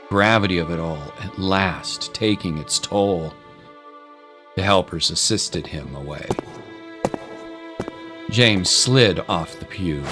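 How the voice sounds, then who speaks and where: A man narrating a scripted dramatic story in a solemn, deliberate way. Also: sits low. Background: clear music.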